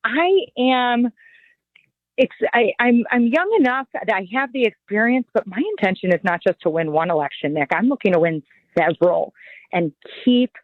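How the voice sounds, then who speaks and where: A woman in her forties, a judicial candidate, answering interview questions in an earnest, measured, lawyerly way. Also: mid-range voice, expressive, no echo. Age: 40s. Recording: phone-like line, dry.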